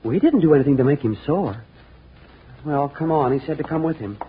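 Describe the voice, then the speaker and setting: High-voiced eager boy, played by a young male. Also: animated, fairly quick, natural voice. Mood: puzzled, eager. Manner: slangy, offhand, youthful. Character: plain-spoken, eager American boy in radio adventure. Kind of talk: 1940s radio acting. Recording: tinny, noisy old radio recording.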